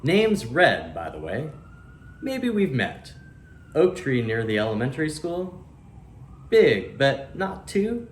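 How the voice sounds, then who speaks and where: A man voicing a friendly, proud, wry oak tree in a children's storybook, chatty and emphatic with deliberate pauses. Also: medium pitch, huge pitch swings. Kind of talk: children's storybook read-aloud. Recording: roomy echo, faint background noise.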